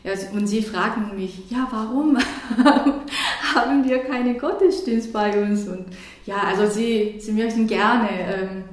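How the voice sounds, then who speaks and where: A woman's voice: a little high, slow, very echoey. A woman from South Korea with a Korean accent, a church worker answering interview questions in a hesitant way, with many fillers and restarts.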